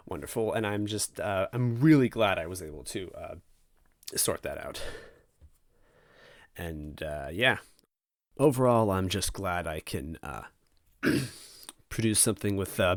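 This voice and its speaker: A man's voice: low voice, no echo, clean studio sound. A man hosting a reading series, talking casually to listeners in a rambling, hesitant way and often interrupting himself. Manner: hesitant, halting, self-interrupting. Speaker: host of a fan fiction reading series.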